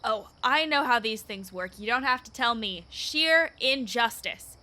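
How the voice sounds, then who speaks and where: Bright-voiced, high-pitched, opinionated busybody wife. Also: female voice, expressive, measured pace. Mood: knowing, effusive. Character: nosy, opinionated, indignant married woman. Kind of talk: radio play acting.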